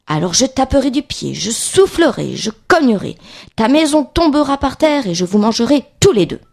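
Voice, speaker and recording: A woman's voice: fairly high pitch, sing-song, normal speed, gravelly, darkish tone, pitched above the performer's own voice, heavily acted. A woman voicing a big bad male wolf in a children's storybook, bullying and hungry, with emphatic, chanting threats. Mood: menacing.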